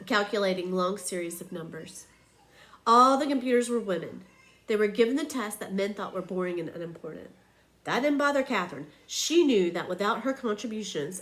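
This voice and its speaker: A woman reading a children's picture book aloud like a teacher, with expressive, emphatic storytelling. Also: mid-range voice, low-quality recording.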